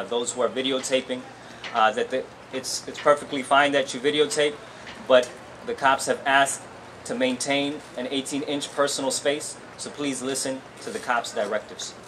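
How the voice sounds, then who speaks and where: A man's voice: mid-range voice, fairly bright tone. A man acting as event emcee, making announcements and reading out a formal introduction. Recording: noisy live event recording.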